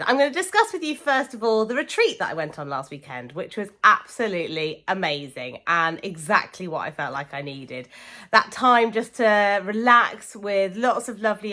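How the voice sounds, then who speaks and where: Lady vlogging about sewing, croaky from a cold, bright voice that swings up and down a lot, gushes about everyone. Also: medium pitch. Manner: chatty and gushing, warm praise for friends. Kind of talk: casual chat to camera.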